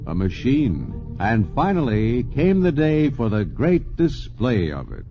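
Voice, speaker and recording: A man giving measured, announcer-style scripted narration for an old radio documentary. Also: medium pitch, wildly swooping pitch. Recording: dull old radio broadcast with music.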